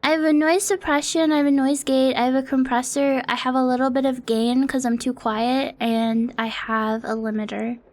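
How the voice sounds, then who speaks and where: A woman's voice: high voice, little pitch movement, moderate pace, hardly any pauses, a little mellow. A young woman streaming from her apartment, chatting casually in a rambling, self-deprecating way and swearing often, close to a desk mic. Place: home apartment streaming setup. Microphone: close desk mic on an arm.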